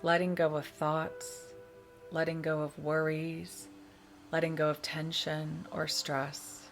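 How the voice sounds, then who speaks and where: A woman leading a guided meditation, giving soothing, measured instructions with long pauses between phrases. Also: low voice, level tone. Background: meditation music.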